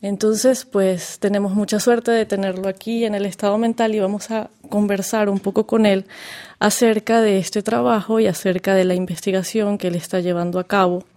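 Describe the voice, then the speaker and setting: A woman's voice: mid-range voice, fairly even intonation, normal speed, darkish tone. A woman hosting an interview, asking long, winding questions with many fillers. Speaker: interview host.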